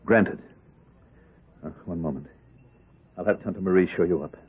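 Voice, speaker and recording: A man's voice: sits low, moderate pace, husky. An actor playing a commanding, wealthy man devoted to his dying wife, speaking with earnest intensity in an old radio drama. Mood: formal, controlled. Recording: muffled, band-limited vintage radio recording.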